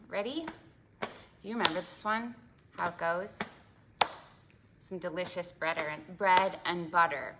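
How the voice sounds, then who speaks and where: A woman with a bright, forward voice leads a preschool storytime. She speaks as a children's librarian would, animated and exaggerated, putting questions to her young listeners. There is faint noise in the recording. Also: mid-range voice.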